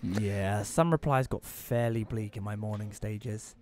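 A man playing a bitter, reclusive widower who narrates an audio play in a wry, deadpan way. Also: lowish voice, a little slow, lightly acted.

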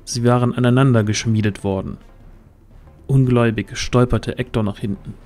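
A man narrates a fantasy audio drama in a literary storytelling style. His voice sits at a middle pitch, with music softly behind it. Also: steady tone, normal speed.

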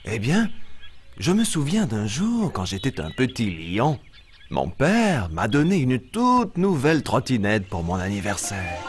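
Kids' puppet show lion male actor: kindly, expressive storyteller, animated mid-range delivery over music. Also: mid-range voice, lightly acted. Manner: expressive, simple explaining to small children. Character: friendly young lion storyteller, warm and eager. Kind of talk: children's show dialogue and storytelling. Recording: music bed under the voice.

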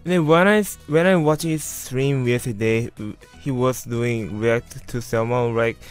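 A young man with a Korean accent narrates a game video casually. His non-native English is halting and repetitive, at a medium pitch. Music plays faintly underneath. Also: fairly even intonation, clean tone, no echo. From South Korea. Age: about 20. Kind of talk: casual voice-over commentary.

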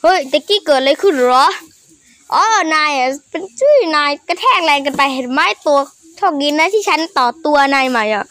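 A child improvising character voices for a cartoon skit, excitable and full of repeated exclamations. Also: high voice, brisk, no room sound. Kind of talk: improvised voicing of characters in a game-animation skit.

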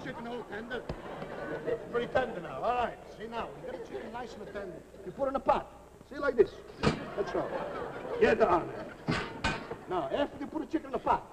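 An excitable Italian immigrant cook. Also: male voice, sits high. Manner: rambling, excitable broken English. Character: jolly old-country Italian immigrant cook, man. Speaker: comic cook. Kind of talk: live comedy sketch. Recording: live audience laughter, noisy old recording.

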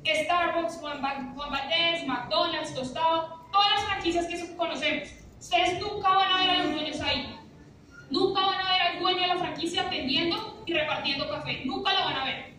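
Echoey high-voiced woman lecturing. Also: moderate pace, pushed and bright. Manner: punchy, rhetorical, keeps asking "¿listo?". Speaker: presenter of a finance seminar. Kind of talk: motivational seminar talk. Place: large lecture room with a projector screen, recorded by an audience phone. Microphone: audience phone camera, from afar. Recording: echoey room from far off, faint hiss.

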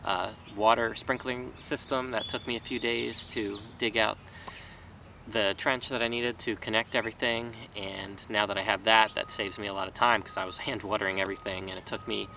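Man, 30s, gravelly, bright timbre, rambling casual talk, outdoors, distant camera mic, faint background noise. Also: on the low side, fairly even intonation, normal speed. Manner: rambling, with many fillers and restarts. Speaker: small farmer. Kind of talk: casual talking-head vlog. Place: outdoors in a vegetable garden. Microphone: camera mic from a few feet away.

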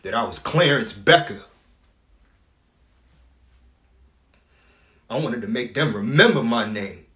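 Deep-voiced wounded son acted by a man, echoey room. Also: normal speed, close to an everyday voice. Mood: defiant. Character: wounded, proud grown son confronting his father. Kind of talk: audition stage monologue, emotional acting.

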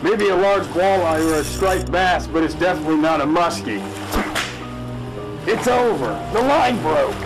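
A man playing a stubborn, educated city fish expert in a spoof radio drama, testy and quick to contradict. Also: a little high, animated, music in the background, natural voice, low-quality recording. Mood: insistent.

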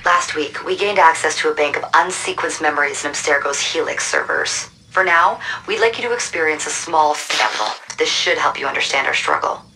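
Guarded female hacker, low pitch, forceful edge, brisk businesslike briefing, game cutscene. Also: expressive, full character voice, poor audio. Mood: urgent, businesslike. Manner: matter-of-fact, businesslike. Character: brisk, guarded woman hacker leading a resistance cell. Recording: clear background noise.

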